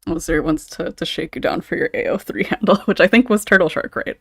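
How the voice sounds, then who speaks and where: A man's voice: high-pitched, fast talker, gravelly, fairly bright tone, husky. A man hosting a podcast in a casual, chatty style. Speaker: podcast host. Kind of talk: casual chat.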